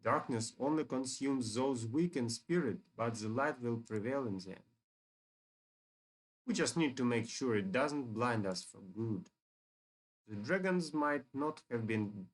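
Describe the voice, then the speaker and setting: A man's voice: mid-range voice, measured pace, close to an everyday voice, low-quality recording. Man reading as a solemn fantasy hero. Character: earnest fantasy heroes returning to a ruined dragon village.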